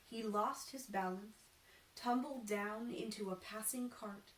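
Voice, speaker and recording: A woman reading a children's storybook aloud in an expressive, deliberate, theatrical way. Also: medium pitch. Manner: animated, deliberate, acts out dialogue. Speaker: costumed storytime reader. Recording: echoey room, distant mic, faint noise.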